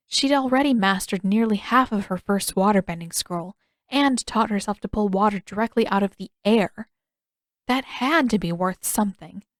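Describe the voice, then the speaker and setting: A woman's voice: mid-range voice, no echo, clean studio sound. A woman reading a scripted story aloud as an audiobook narrator. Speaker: fan fiction author reading own story.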